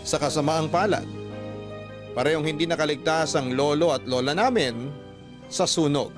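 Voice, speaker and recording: A fairly high male voice over clearly heard music, a man reading as a bereaved grandchild. He tells a first-person family story in the style of radio drama narration. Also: normal speed, natural voice. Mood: sorrowful, reflective. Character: bereaved grandchild telling a family tragedy in a letter. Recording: prominent music under the voice. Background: clear background music.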